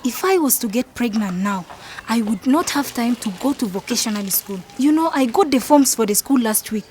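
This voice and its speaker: A woman's voice: on the high side, lively intonation, normal speed, darkish tone, a little hiss or hum, close to an everyday voice. A woman playing a thoughtful, unsure young wife in a radio drama, telling her story in a reflective, sincere way. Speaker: actor narrating and playing the main character. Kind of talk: first-person radio drama narration and dialogue.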